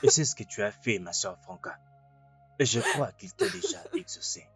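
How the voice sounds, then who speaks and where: An actor playing an earnest pastor who soothes and reassures in a preacherly, insistent way. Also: male voice, fairly low pitch, huge pitch swings, moderate pace, a bit bright, breathy edge, faint music behind, exaggerated voice. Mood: earnest consoling.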